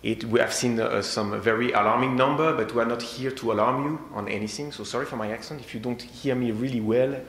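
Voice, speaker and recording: A man with a strong French accent giving a conference presentation, halting, with many fillers and repeated words. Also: medium pitch, steady tone, vocal fry, a bit bright. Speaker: conference speaker. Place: conference hall. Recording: echoey hall with faint noise.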